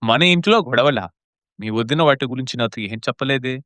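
A man playing a steady, protective elder brother in a moral story drama, firm and measured. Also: mid-range voice, no room sound. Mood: indignant.

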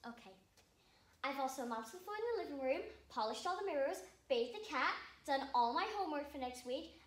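Young girl acting a high-voiced, wheedling daughter. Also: fairly bright tone. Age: child of about 13. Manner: theatrical, wheedling, over-sweet. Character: eager pleading young daughter wheedling her mother. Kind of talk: rehearsed comic stage monologue. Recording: roomy, distant mic.

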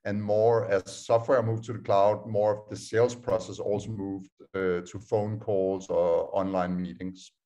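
A man's voice: lowish voice, flat delivery, unhurried, hardly any pauses, dark tone. A man in his thirties with a Danish accent, giving a casual online presentation on a video call, with many filler sounds as he explains. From Denmark. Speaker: startup co-founder giving a talk. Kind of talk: online presentation over screen share.

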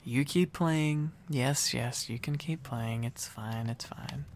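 A man's voice: mid-range voice, slow, a little hiss or hum. A man playing a needy, silly, affectionate boyfriend in an intimate ASMR roleplay, rambling and teasing playfully. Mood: relaxed, teasing.

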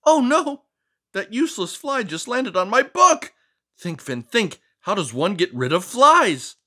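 A man voicing a fussy, excitable, melodramatic neat-freak frog in a storybook read-aloud, full of exasperated exclamations. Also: high voice, measured pace, exaggerated voice. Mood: frantic, disgusted.

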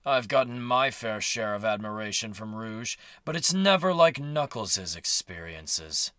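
A man's voice: lowish voice, expressive, measured pace, bright, forceful tone. A man playing an aloof, sardonic cartoon hedgehog rival, curt and dismissive, in a fan audio drama. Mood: dry, knowing.

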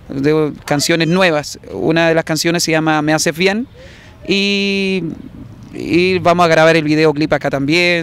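A man's voice: a little high, slight background noise. A young man with a Chilean accent, a singer answering casually in a promotional interview outdoors into a handheld microphone. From southern Chile. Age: young adult. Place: outdoors in an open public plaza. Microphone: handheld reporter microphone held by interviewer.

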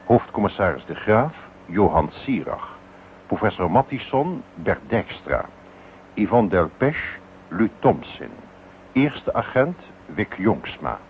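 A man's voice: on the low side, sing-song, takes it slowly. A man working as a radio announcer, formally reading closing credits. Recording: tinny old radio recording, faint noise.

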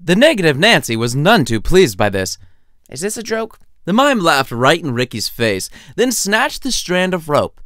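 A man's voice: a little high, huge pitch swings, moderate pace, few pauses, a bit bright, no echo, studio quality. A man reading his own story as a wry, theatrical narrator. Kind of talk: lively scripted storytelling narration.

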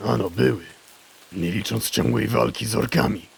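A deep, heavily creaking male draenei, calm and caring, speaks with steady wisdom. It is fantasy audio drama, with rain ambience and faint noise behind the voice. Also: normal speed. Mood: wry. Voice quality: gravelly, low.

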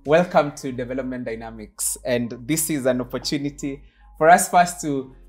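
A talk show host greeting a guest in a studio lounge, effusive and formal, often repeating words while searching for them. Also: female voice, a bass voice, normal speed, a little mellow, faint music behind. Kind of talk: warm formal interview introduction. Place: studio lounge set, indoors.

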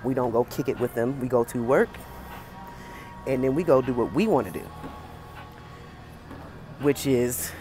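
An American woman in her forties talking casually and emphatically to camera as a fitness vlogger, pausing between phrases. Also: a bass voice, warm tone, faint music behind, low-quality recording. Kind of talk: casual opinionated talk to camera. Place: indoor gym with high ceiling.

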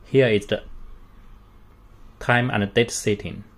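A man walks through a product step by step in a fairly low voice. He talks slowly and haltingly, in short unscripted phrases with non-native English grammar. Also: steady tone. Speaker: product demonstrator.

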